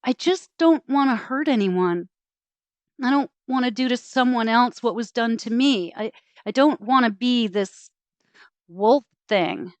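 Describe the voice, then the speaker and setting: A woman's voice: a little high, animated, unhurried, dry recording, muffled recording, voice pushed up. A woman voicing an earnest, insistent young girl in a storybook, questioning and pleading. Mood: worried, pleading. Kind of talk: storybook character voice.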